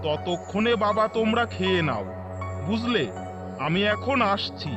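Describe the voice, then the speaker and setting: Cartoon casting: man as humble old villager, high-pitched, slow, folksy and hesitant, music behind. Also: animated, muffled recording. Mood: wary, obliging. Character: humble elderly village father-in-law, obliging and wary.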